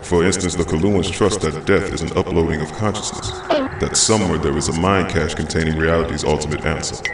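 A man's voice: very deep voice, fairly even intonation, brisk, vocal fry, noisy background. A man playing a wise, formal alien telepath superhero explaining things in a comic-book drama, with echo on his voice. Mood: patient, grave. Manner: formal, expository. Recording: echoing, distant voice under loud music.

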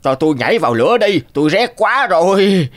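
A man's voice: high-pitched, sing-song, brisk. A man voicing odd, loyal servants with strange powers in a fairy-tale cartoon, good-natured and eager. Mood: alarmed, eager. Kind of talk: animated fairy-tale dubbing.

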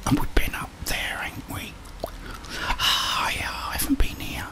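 Whispering man, slow and breathy. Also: low-quality recording. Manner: murmuring to himself and viewers in short remarks. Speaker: gaming ASMR host. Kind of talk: whispered ASMR game commentary. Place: small indoor room with plain wall.

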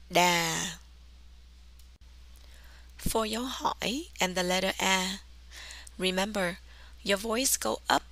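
A woman with a southern Vietnamese accent narrating a language lesson as the teacher, enunciating deliberately and carefully. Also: mid-range voice, creaky, bright, forceful tone, no room sound.

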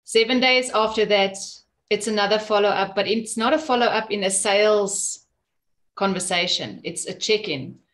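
A woman's voice: medium pitch, normal speed, fairly bright tone. A middle-aged woman explaining and advising on a video-call panel in an earnest, direct, conversational way. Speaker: sales professional on a panel. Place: small office, video call.

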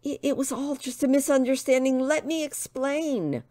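A hapless young man in a storybook , acted out by a woman narrator, pitched well up and full of panic. He pleads and exclaims dramatically, tripping over his words. The sound is close and dry. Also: moderate pace, mellow, no echo, natural voice. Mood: pleading. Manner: stammering, exclaiming, British slang. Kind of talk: audiobook character dialogue.

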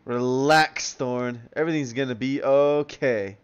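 A man's voice: mid-range voice, unhurried, clean tone, muffled recording, natural voice. A man voicing a small, cocky cartoon creature in a comic dub, brash, breezy and confident.

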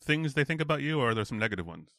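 A man's voice: mid-range voice, very animated, racing, pushed and bright, dry recording, studio quality. An American man hosting a podcast, asking curious follow-up questions and reading a listener comment aloud. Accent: General American. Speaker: podcast host. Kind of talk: casual interview chat.

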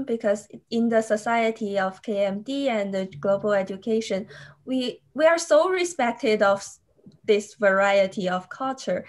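A young woman, a graduate student, answering thoughtfully on a video call and restarting phrases as she goes. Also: fairly high pitch. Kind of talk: panel interview answers.

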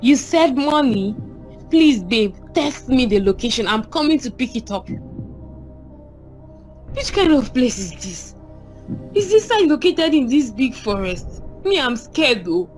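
A woman acting a young, anxious, affectionate wife speaks high and with lively swings of pitch. Her accent is Nigerian and her delivery is expressive film melodrama, with music behind. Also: measured pace, pauses often. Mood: eager. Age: young adult. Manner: expressive, pleading, uses pet names.

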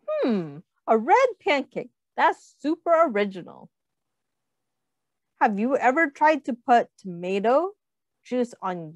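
A woman teacher reading a picture book aloud to children, pausing to ask them questions and putting on small voices for the characters, speaking into a webcam. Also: a little high, no room sound. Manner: animated, asks children questions, does little character voices.

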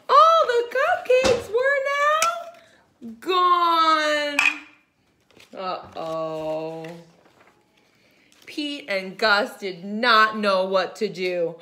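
Female storyteller for young children, slow and high, big expressive delivery. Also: slight background noise. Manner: expressive, exaggerated gasps and hushed asides. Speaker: teacher reading aloud to children. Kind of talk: animated children's picture-book read-aloud. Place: home kitchen.

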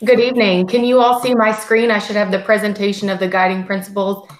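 A woman presenting a school district budget report on a video call in a steady, formal, scripted way. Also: medium pitch, quick pace. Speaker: school district finance administrator. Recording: echoey video call audio.

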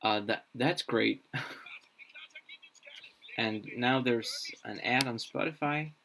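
A young man, a native Danish speaker, talks slowly and unhurriedly on a live stream, at an ordinary mid pitch. He thinks aloud, halting often with fillers and restarts. He is recorded at a desk in a small room. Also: gravelly, fairly bright tone, slight background noise, low-quality recording. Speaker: streamer building a constructed language.

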